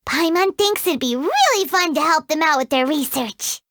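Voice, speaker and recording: A woman voicing a tiny, excitable, greedy female fairy companion in a game who talks about herself in the third person. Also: sits high, normal speed, dry recording, clean studio sound. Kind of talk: game voice acting.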